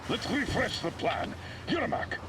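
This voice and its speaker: An actor playing a gruff, resolute colonel who commands a squad of rangers in a video game, with a gravelly, clipped delivery. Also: male voice, very high voice, very animated, a little slow, background noise, exaggerated voice. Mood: brisk, commanding. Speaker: military commander. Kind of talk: dramatic video game acting. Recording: music behind the voice.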